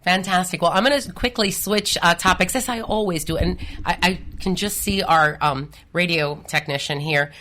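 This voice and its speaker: Woman radio co-host and financial planner, slightly low voice, animated storyteller. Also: normal speed, few pauses, a little hiss or hum. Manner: lively, animated storytelling, laughs and exclaims. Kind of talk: radio talk show chat. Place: radio studio.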